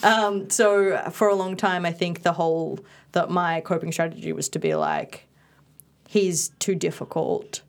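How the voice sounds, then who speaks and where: A woman's voice: lowish voice, lively intonation, a little slow. A young woman from Bali, whose first language was Indonesian, speaking as an interview guest in a chatty, casual way with many fillers and restarts. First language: Indonesian and Balinese.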